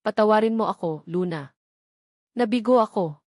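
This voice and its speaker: A woman narrator plays a desperate, determined young Filipina in an audiobook romance. Her voice is dramatic and medium in pitch, with a dry, close sound. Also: normal speed, darkish tone, no room sound, lightly acted. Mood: sorrowful. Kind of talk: audiobook character acting.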